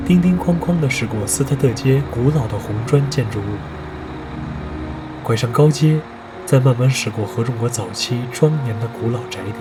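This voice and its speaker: A man's voice: medium pitch, lightly acted. A man playing the solemn storyteller of an eerie radio drama, narrating in descriptive, literary Mandarin. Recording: loud music behind narration.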